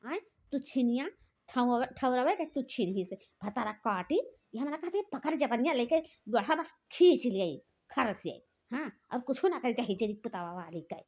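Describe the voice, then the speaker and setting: A woman's voice: on the high side, lively intonation, moderate pace. A woman voicing a quarrelsome, earthy village sister-in-law in a cartoon comedy, scolding and complaining with an Awadhi accent. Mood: furious scolding. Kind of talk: rustic cartoon comedy dialogue. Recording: dull lo-fi sound.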